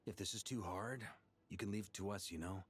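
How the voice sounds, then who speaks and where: A man's voice: fairly low pitch, fairly quick, husky. A man voicing an easygoing young adventurer in a video game, a caring and self-effacing comrade with a casual manner. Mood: gentle concern. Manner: casual, reassuring.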